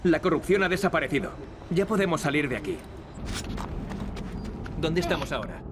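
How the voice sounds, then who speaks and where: A man's voice: on the high side, sing-song, a little fast, noisy background. A man with a Castilian Spanish accent playing a cocky, sarcastic young adventurer in a video game, wry and teasing. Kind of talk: video game acting, European Spanish dub. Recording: loud music behind the dialogue. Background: loud game music.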